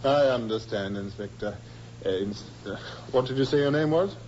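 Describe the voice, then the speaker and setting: An actor playing a polite, distracted gentleman accused of a crime in a 1950s radio drama, hesitant and resigned. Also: mid-range voice, normal speed, close to an everyday voice. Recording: muffled, hissy old radio recording.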